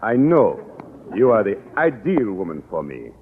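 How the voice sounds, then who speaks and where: A courtly, deep-voiced Frenchman. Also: huge pitch swings, measured pace, slightly rough, heavily acted. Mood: earnest. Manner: broken English, courtly and earnest. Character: amorous middle-aged Frenchman in America. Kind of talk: 1950s radio sitcom acting. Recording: tinny hissy old radio broadcast.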